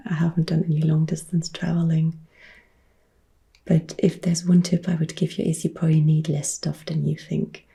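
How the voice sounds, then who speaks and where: A woman in her thirties from Upper Austria with an Austrian German accent, softly whispering and quietly answering viewer questions as an ASMR host. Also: low voice, flat delivery, normal speed, vocal fry, husky. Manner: soft whispering, mixed with quiet speech. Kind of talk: ASMR Q&A chat. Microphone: close-up sensitive ASMR mic.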